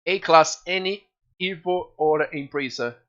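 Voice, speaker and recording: A man with a Brazilian Portuguese accent, a game streamer chatting casually and excitedly with viewers, swearing freely. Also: fairly high pitch, a little slow, highs cut off.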